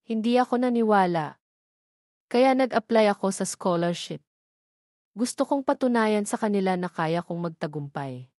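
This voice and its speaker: A woman's voice: mid-range voice, animated, moderate pace, a little mellow, no room sound, studio quality, lightly acted. Woman reading as an earnest, devoted man in love. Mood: sad, confessing.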